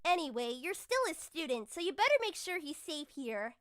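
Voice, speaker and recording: Very high, spunky little girl. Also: animated, brisk, a bit bright. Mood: bossy. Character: stubborn, spunky little girl, matter-of-fact. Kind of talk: comic anime parody acting. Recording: clearly audible music behind the voice.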